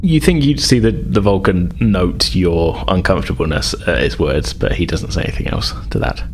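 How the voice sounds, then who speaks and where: A man's voice: low-pitched, big pitch swings, normal speed, slight background noise. A man working as game master, improvising tabletop game narration in a conversational, hedging way.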